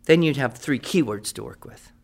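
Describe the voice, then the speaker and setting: A man's voice: mid-range voice, lively intonation, normal speed, creaky. A man playing a patient writing teacher in a radio drama, explaining step by step and checking that students follow. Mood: instructive. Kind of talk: improvised-sounding classroom teaching in a radio drama.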